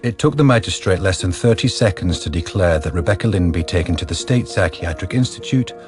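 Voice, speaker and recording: Male narrator, low deep voice, measured, factual, scripted radio drama, music behind. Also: animated.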